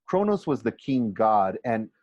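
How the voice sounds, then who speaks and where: A man reading a storybook as an earnest, quick-tempered schoolboy, unsure and questioning. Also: lowish voice, very animated, darkish tone, dry recording, dull audio. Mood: unsure, explaining. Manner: hesitant, questioning.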